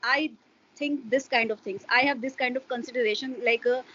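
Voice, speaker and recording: A woman's voice: fairly high pitch, fairly even intonation, fairly quick, fairly bright tone, breathy edge, highs cut off. A woman, a Hindi speaker, explaining her views in an interview with a hesitant manner and many fillers. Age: adult parent. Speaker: interview guest. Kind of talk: casual explanatory interview talk.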